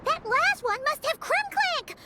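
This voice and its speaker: A woman voicing a feisty young inventor girl in a video game, girlish and squeaky, emphatic and fiercely protective of her robot friend. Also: lively intonation, unhurried, pushed and bright, exaggerated voice. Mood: alarmed, urgent. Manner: emphatic, exclamatory. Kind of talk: animated video game voice acting.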